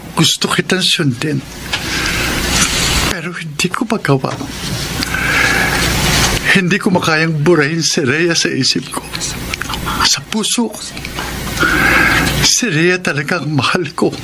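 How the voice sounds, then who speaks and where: A man's voice: fairly high pitch, slow, long pauses, very noisy. An actor playing a melancholy, earnest man pining for lost love in a Filipino radio drama. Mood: heartbroken.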